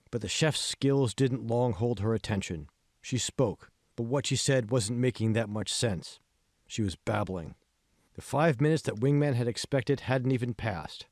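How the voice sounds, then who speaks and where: A man's voice: medium pitch, darkish tone, no echo, clean studio sound. A man reading scripted audiobook narration of a novel. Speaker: author reading own audiobook.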